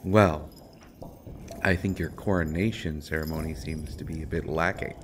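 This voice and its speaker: A man playing a sardonic imprisoned assassin in a roleplay audio drama, speaking deliberately with wry mock courtesy and pointed pauses. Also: very deep voice, fairly even intonation, a little hiss or hum. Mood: dryly amused. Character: sardonic imprisoned male assassin, principled, coolly amused. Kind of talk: scripted roleplay audio drama, addressed to listener.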